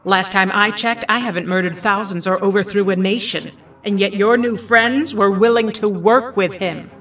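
A woman's voice: medium pitch, moderate pace, a bit bright, close to an everyday voice. A woman playing a proud, bitter, defiant villainess and mother in a superhero audio drama. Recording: slightly distant, faint music.

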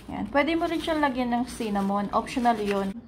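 A woman's voice: a little high, animated, fairly quick, a little hiss or hum. A young woman with a Filipino accent, chatting viewers through a recipe in her home kitchen, filmed on a phone. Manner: chatty, instructive, addresses viewers as guys. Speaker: cooking vlogger. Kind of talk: casual cooking tutorial.

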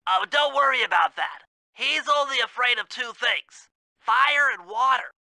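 An actor playing a brainy, earnest turtle gadget expert in a video game, nasal and know-it-all. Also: male voice, extremely high pitch, animated, normal speed, gravelly, sharp tone, no echo, full character voice. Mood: briefing.

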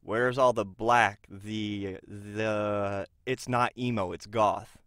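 A man playing a patient but probing counselor in an audio drama, questioning in a halting way. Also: fairly low pitch, steady tone, fairly bright tone, smooth, no room sound, studio quality.

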